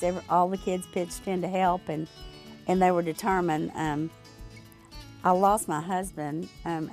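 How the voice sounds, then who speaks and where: An older woman from Arkansas talks about her family store in an interview. Her voice sits fairly low. She reflects slowly and halts often, with many fillers. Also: darkish tone.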